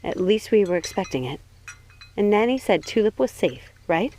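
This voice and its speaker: A woman's voice: mid-range voice, animated, normal speed, mellow, natural voice. A woman voicing a worried, protective young witch in an audiobook, speaking earnestly and with care. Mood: quiet, caring. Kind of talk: audiobook character voice.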